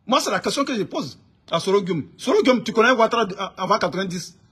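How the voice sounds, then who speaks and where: A man with an Ivorian accent speaks in a high-pitched, raspy-edged voice. He rants informally about his views in an indignant, emphatic way, often hammering a phrase by repeating it. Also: expressive, quick pace, a bit bright. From Ivory Coast. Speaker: online political commentator. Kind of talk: informal political rant to camera.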